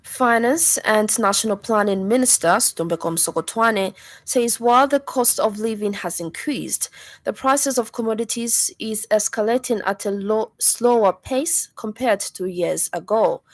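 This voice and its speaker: A woman's voice: medium pitch, unhurried, dry recording. Zambian woman reading radio news. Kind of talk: scripted news bulletin reading. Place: radio studio.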